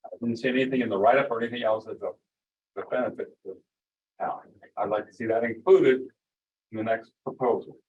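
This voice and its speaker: A man's voice: medium pitch, a little fast, a little mellow. A man at a town meeting asking pointed questions, with a dry bit of humor. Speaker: resident speaking at public comment. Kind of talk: public comment at a town meeting. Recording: distant, dull meeting audio.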